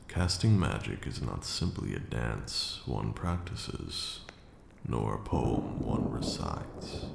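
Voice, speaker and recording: Very deep, gravelly vampire lord played by a man. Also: full character voice. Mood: instructive, reassuring. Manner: formal, archaic, measured phrasing. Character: ancient male vampire lord, stern yet caring mentor. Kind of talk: ASMR roleplay, slow intimate monologue. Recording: roomy echo with audible background noise.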